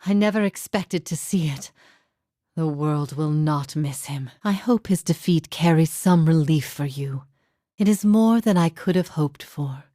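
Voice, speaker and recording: Low-pitched, solemn woman heretic prophet. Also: mellow. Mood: solemn, resigned. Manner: solemn, measured, formal phrasing. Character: grave, steadfast female heretic prophet haunted by past lives. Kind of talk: dramatic fantasy game voice acting.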